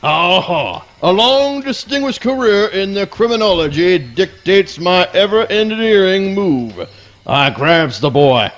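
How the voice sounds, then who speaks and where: A man plays a self-important cartoon hound with airs of a great detective. The voice is high, theatrical and swooping, in a slightly dull recording of a comic radio play. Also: expressive, measured pace, fairly bright tone. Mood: pompous. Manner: pompous, grandiloquent, theatrical.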